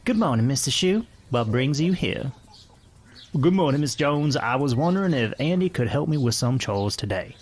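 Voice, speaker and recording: A man's voice: mid-range voice, animated, normal speed, a little hiss or hum, close to an everyday voice. A man playing courteous 1890s farm folk with an attempted West Virginia accent in amateur storytelling, with a folksy manner. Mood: polite, neighborly. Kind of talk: amateur character voices in storytelling.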